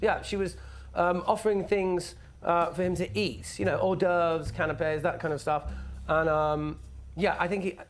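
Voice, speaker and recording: An actor playing a defensive, sulky young son, evasive and sardonic, in a naturalistic film drama. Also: male voice, sits high, little pitch movement, moderate pace, faint music behind, lightly acted. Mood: hesitant, evasive. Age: young adult. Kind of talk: acted film dialogue, naturalistic.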